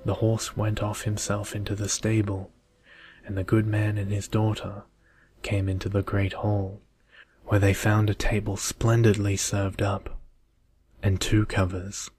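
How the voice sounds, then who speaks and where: A man reading a bedtime story in an ASMR style, soft, measured and soothing. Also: on the low side, completely flat delivery, husky, no room sound.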